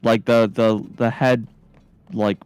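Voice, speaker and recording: A man's voice: lowish voice, flat delivery, slow pace, darkish tone, clean tone. A man chatting casually as a game streamer, rambling and hesitant, full of his own opinions. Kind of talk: casual chat over gameplay.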